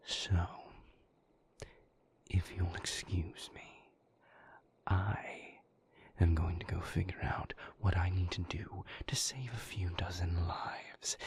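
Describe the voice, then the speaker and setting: A man playing a moody, bitter vampire boyfriend in an intimate audio roleplay, breathy and husky, with sardonic, cutting, deliberate phrasing. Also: low voice, full character voice. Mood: bitter, despairing. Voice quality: breathy, husky, gravelly half-whisper.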